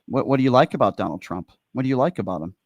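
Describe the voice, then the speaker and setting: A man's voice: medium pitch, animated, rapid-fire, dry recording, highs cut off. A man hosting a radio call-in show, talking politics in an opinionated, blunt and confrontational way.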